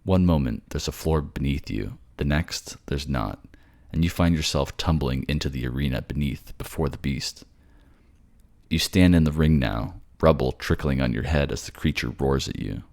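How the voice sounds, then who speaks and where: A man's voice: extremely low pitch, moderate pace, vocal fry, a little mellow. A man narrating a fantasy drama in the second person, with a dramatic storytelling delivery.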